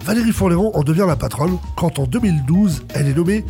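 A man's voice: fairly high pitch, expressive, fast, creaky, mellow, soft background music. A man with an accent from France, hosting a radio portrait and reading scripted narration. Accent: French from France. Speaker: radio host and interviewer.

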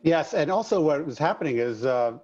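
A man's voice: fairly high pitch, animated, a little fast, darkish tone, lo-fi sound. A middle-aged man from Long Island with a slight New York accent, chatting as a guest in an interview in an upbeat, folksy way. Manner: upbeat, folksy, addresses host by name. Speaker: guest, trades business owner. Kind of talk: casual interview chat.

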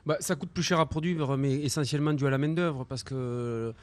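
A man's voice: medium pitch, brisk, no room sound. A man who is an organic winemaker, explaining facts and figures as a guest on a radio talk show. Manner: factual, figures-driven. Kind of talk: radio interview answers.

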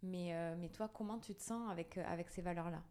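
A woman's voice: on the low side, fairly even intonation, fairly quick, darkish tone. A woman co-hosting a podcast, presenting the show and interviewing her guest. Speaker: podcast co-host, boutique founder.

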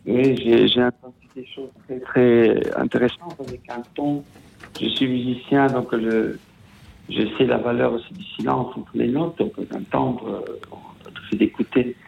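A man's voice: medium pitch, fairly even intonation, creaky, slight background noise. Italian man calling in over a phone. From Italy. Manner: hesitant, halting, many restarts. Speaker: radio caller, musician. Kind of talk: phone-in call to a radio show. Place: at home. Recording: tinny phone line.

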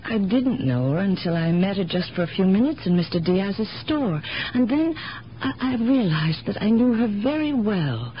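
An actress playing an independent, philosophical elderly aunt with a sharp mind, speaking deliberately in gently contrary riddles in 1970s radio drama style. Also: female voice, mid-range voice, lively intonation, lightly acted. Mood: thoughtful, precise. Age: older. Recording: dull, noisy 1970s radio broadcast recording.

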